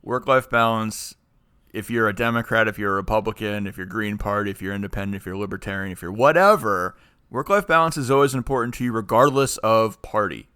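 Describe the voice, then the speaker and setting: A man's voice: lowish voice, brisk, pushed and bright, smooth, dry recording, clean studio sound. A man co-hosting a podcast in casual, opinionated chat, speaking emphatically and argumentatively and often digressing. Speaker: podcast co-host.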